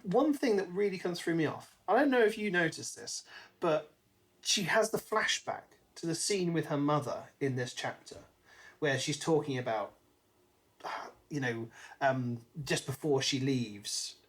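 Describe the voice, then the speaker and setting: A British man co-hosting a podcast, discussing a book casually in an animated storytelling way. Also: on the high side, moderate pace. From Britain. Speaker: podcast co-host.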